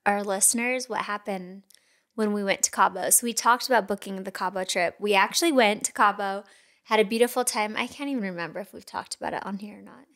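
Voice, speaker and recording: A woman's voice: medium pitch, fairly quick, a bit bright. A woman co-hosting a podcast, telling a story in casual chat, laughing often. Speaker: podcast co-host.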